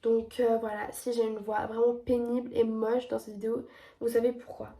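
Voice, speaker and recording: A woman's voice: fairly high pitch, level tone, moderate pace, dark tone, distant mic. Stuffy-voiced young woman explaining earnestly. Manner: clear, earnest explaining, reading definitions and figures. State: stuffy, has a cold. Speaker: educational video host. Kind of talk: explanatory presentation.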